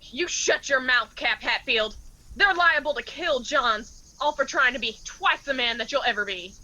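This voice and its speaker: An actress as a high, piercing Appalachian young woman. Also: moderate pace, bright, forceful tone, rough recording. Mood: angry, defiant. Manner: emotional, plain rustic speech. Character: hurt, pleading, defiant young Appalachian mountain woman. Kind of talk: radio drama acting.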